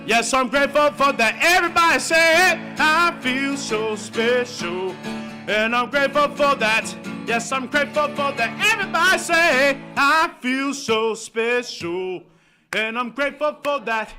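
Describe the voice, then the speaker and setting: A woman performs on a stage mic in a small indoor venue, leading the crowd in call and response. Her voice is very high and strikingly bright. A guitar plays faintly behind her. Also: unhurried. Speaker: singer performer.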